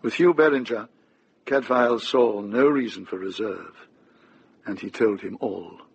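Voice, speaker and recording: A man with a gravelly, husky voice narrating a radio drama in a measured, literary manner. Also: fairly low pitch, muffled recording, a little hiss or hum.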